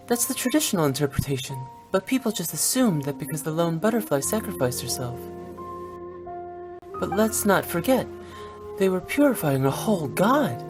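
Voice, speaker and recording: A cheeky young woman who runs a funeral parlor for a video game. Her delivery is playful and self-assured, with lively swings in pitch and soft music behind. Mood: reassuring. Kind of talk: English video game voice acting.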